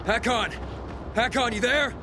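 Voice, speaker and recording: An actor playing an earnest, driven young wanderer hero in a video game cutscene. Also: male voice, very high-pitched, a little slow. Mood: anxious, grieving. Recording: noisy game ambience.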